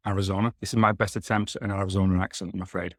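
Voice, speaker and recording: A man's voice: sits low, level tone, racing, a bit bright, dry recording, studio quality. A man with a Manchester accent from Northern England, a company CEO talking casually as a podcast guest, often restarting and repeating words. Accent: Northern English, Manchester. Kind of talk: casual interview conversation.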